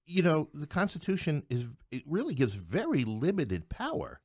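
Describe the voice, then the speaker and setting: A middle-aged man from New York City in a conversational political discussion, explaining haltingly and often rephrasing himself. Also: a little high, wildly swooping pitch, darkish tone, breathy edge, no room sound, studio quality. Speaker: podcast guest, playwright and English professor.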